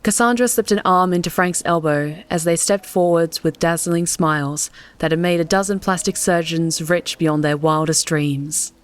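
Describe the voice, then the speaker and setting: A woman's voice: lowish voice, normal speed, hardly any pauses. A woman reading scripted fiction as an audiobook narrator. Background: faint music.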